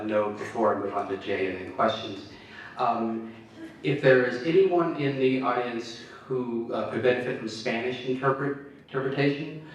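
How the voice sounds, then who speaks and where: A man moderating a campus candidate forum, halting with many fillers and restarts. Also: fairly low pitch, slight background noise. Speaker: panel moderator. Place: large echoey hall with audience. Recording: echoey hall, speaker far from mic.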